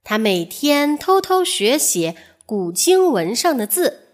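Slow, expressive woman telling a fairy tale. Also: sits high. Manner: measured, expressive storytelling. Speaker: storyteller. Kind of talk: scripted children's fairy-tale narration.

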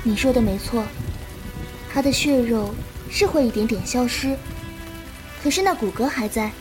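A woman playing a gentle, compassionate young martial-arts disciple who explains patiently in a Chinese period audio drama. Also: a little high, moderate pace, music in the background, lightly acted. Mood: gentle, consoling.

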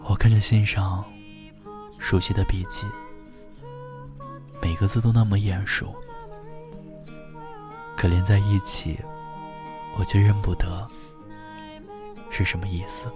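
Low, soft-voiced young boyfriend. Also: male voice, level tone. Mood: sad, heartbroken. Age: young adult. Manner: soft, tender, unhurried, breathy phrase endings. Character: gentle, devoted young boyfriend, wistful and heartbroken. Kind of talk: intimate first-person radio drama narration. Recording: music behind the voice.

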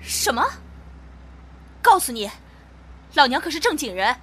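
A woman playing a brash, excitable, food-loving young cafe owner in a Chinese audio drama. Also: high-pitched, a little slow, breathy edge, exaggerated voice. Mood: indignant, excited. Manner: bossy, excitable, playful.

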